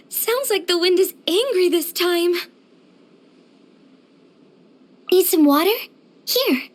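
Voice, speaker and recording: A woman playing a cheerful, easygoing young craftswoman in a video game, with a gentle, chirpy, earnest manner. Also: very high-pitched. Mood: bright, wondering. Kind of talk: video game character voice acting.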